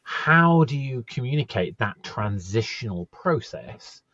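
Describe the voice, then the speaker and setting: A man's voice: medium pitch, very animated, measured pace, a bit bright, no echo. A man hosting a podcast interview, talking conversationally and probing with questions, on a slightly muffled microphone.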